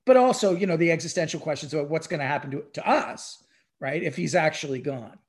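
Emphatic male storyteller, slightly high voice. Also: expressive, fast talker. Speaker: podcast speaker. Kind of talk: casual podcast discussion, retelling a documentary.

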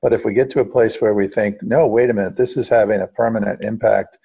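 A low-voiced American man discusses environmental news as a podcast co-host, with a gravelly, husky delivery. He qualifies and corrects himself as he goes. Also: fairly even intonation, quick pace, dark tone. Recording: dull, muffled lo-fi audio.

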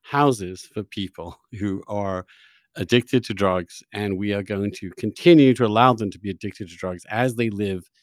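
A man's voice: on the low side, normal speed, dry recording, studio quality. A man hosting an interview, pressing his guest with skeptical, challenging questions. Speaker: podcast host, interviewer.

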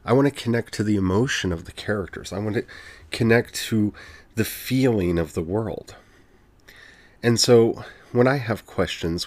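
A podcast host with a very deep, low-pitched voice talks alone into the mic in an earnest, heartfelt way. The delivery is reflective and personal, dotted with soft "you know" fillers. The sound is close and dry, with no room behind it. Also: dry recording. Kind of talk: reflective solo podcast monologue.

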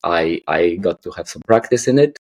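A man's voice: sits low, animated, moderate pace, a little mellow. A man in his late thirties with a Polish accent, answering casually as an interview guest, hesitant and often repeating words. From Poland. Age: late 30s. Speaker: guest, artist and architect.

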